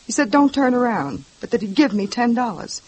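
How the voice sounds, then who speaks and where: A woman's voice: on the high side, expressive, brisk, darkish tone, slightly rough, poor audio. An actress playing a frightened, bruised young woman who answers a police officer in a tired, defensive way, in a 1950s radio drama. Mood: shaken.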